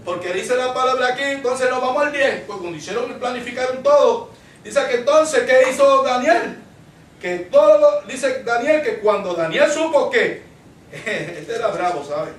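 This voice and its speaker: A Puerto Rican man delivers an emphatic sermon in a high voice. He repeats his phrases and throws questions out to the congregation. The sound is roomy and echoing, picked up some way from the microphone. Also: expressive, normal speed. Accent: Puerto Rican Spanish. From Puerto Rico. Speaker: preacher. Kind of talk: Pentecostal Bible-study sermon. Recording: roomy echo, distant microphone.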